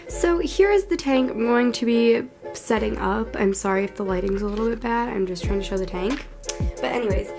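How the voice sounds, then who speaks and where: A young woman with a cold, sounding a little tired and under the weather, chatting casually through a pet care how-to with lots of likes and ums. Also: mid-range voice. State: sick, a little hoarse and tired. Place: bedroom at home. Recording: background music throughout. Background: background music.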